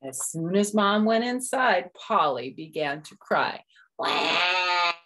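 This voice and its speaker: A woman's voice: fairly low pitch, lively intonation, a little slow, a bit bright. A middle-aged woman hosting storytime, reading a picture book aloud and adding simple explanations for young children. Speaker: storytime host. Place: small room at home.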